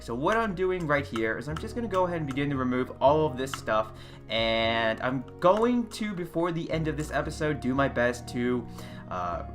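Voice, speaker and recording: Male gameplay host, bright forceful voice, loud and chatty, desk mic, faint guitar music underneath. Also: medium pitch. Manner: loud, chatty, self-correcting. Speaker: gaming channel host. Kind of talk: casual game commentary. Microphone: desk USB condenser mic.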